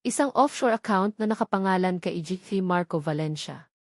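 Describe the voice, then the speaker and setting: A Filipino woman voicing a calm, cold, commanding male hospital owner in a story, firm and measured. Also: on the low side, dry recording, clean studio sound. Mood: firm, accusing.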